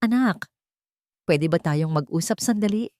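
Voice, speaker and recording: A woman voicing a worried, gentle older mother and grandmother in a story, earnest and careful in manner. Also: lowish voice, expressive, unhurried, dry recording, clean studio sound. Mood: gentle worry. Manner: hesitant, earnest.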